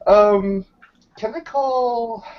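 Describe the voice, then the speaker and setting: A man's voice: very high-pitched, extremely slow. A man playing a tabletop roleplaying game, chatting casually and swearing freely as a player. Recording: dull, lo-fi voice recording.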